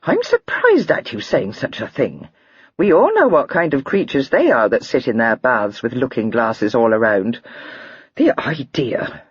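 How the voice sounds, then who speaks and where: Prim shocked old maid, fussy and disapproving, breathy, woman doing her. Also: extremely low pitch, very animated, a little slow, no echo, highs cut off, heavily acted. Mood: scandalized.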